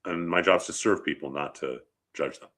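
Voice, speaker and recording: Low-voiced man teaching, sniffly with cold. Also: a little fast, fairly bright tone. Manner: conversational, checks in often with short questions. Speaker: rabbi teaching about funeral customs. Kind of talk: informal lecture with questions to listeners.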